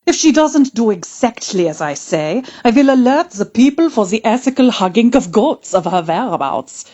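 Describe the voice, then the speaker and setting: A woman's voice: a little high, sing-song, normal speed, mellow, muffled recording. A woman playing a scheming, self-satisfied villainous nurse in a comedic audio drama, with a melodramatic, imperious delivery. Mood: smug, menacing.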